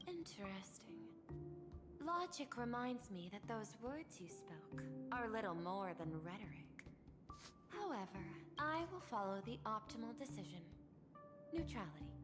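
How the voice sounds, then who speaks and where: Game executive: cool, refined woman, unhurried and formal, lilting intonation, heavy reverb. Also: fairly high pitch, big pitch swings. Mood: cool, skeptical. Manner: deliberate, formal, reserved. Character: cool, logical, refined woman, high-ranking corporate executive. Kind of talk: video game dialogue acting. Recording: long reverb, music behind game dialogue.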